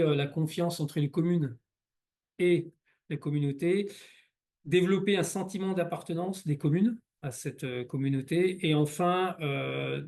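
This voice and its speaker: A man giving an explanatory presentation in a halting way, often repeating words as he goes. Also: a little high, mellow. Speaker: local authority staff presenter.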